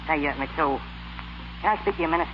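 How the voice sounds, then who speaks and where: An actor playing a pushy, slangy Brooklyn fight manager posing as a butler, in 1930s radio comedy style. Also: male voice, a little high, fast, natural voice. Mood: earnest, sweet-talking. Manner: pushy, slangy, ungrammatical tough talk. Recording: scratchy, muffled 1930s radio recording.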